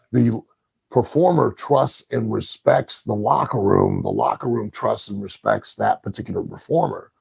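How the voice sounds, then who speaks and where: A man with a gravelly, husky voice giving opinionated analysis in a podcast conversation, deliberate and emphatic, repeating key phrases. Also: on the low side, a little mellow, no echo. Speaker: podcast panelist from the wrestling business.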